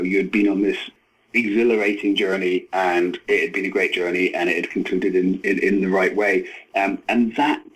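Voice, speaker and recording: A man in his forties giving a thoughtful interview, speaking haltingly with repeated words and many verbal hedges. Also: on the low side, slightly rough, low-quality recording. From Scotland. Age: 40s. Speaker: interview guest, novelist and screenwriter.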